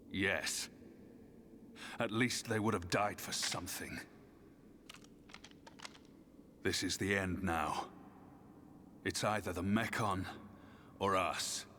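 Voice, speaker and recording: A man's voice: low-pitched, slow, long pauses, sharp tone, slight background noise, exaggerated voice. An actor playing a soldier in a game, grieving his fallen men and swearing to fight on, intense and full of self-blame. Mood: grim, resolute. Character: grieving soldier, bitter and stubborn.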